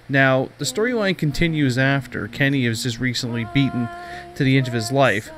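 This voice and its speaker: A man hosting a podcast game review, giving commentary that moves between prepared narration and loose opinion. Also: mid-range voice. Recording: music playing behind the voice. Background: music.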